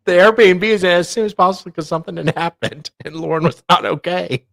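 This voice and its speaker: A woman's voice: lowish voice, brisk, creaky, no room sound, clean studio sound. A woman co-host reading documents aloud in a theatrical, mocking way, breaking off for casual asides. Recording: faint music behind voice. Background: faint music.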